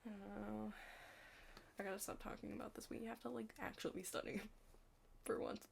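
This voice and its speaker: A young woman speaks in a casual ASMR roleplay style, with plenty of vocal fry. Her medium-pitched voice is hushed and sometimes slips toward a whisper. She rambles and halts, leaning on "like", over faint background noise. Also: little pitch movement. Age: young adult. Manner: rambling, halting, heavy use of "like". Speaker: ASMR roleplay creator playing a study partner.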